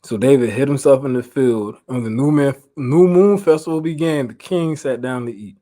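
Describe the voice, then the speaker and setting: A man's voice: medium pitch, normal speed, warm tone. A man with an American accent giving an informal Bible lesson, mixing reading aloud with slangy, conversational retelling and repeating phrases for emphasis. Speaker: preacher.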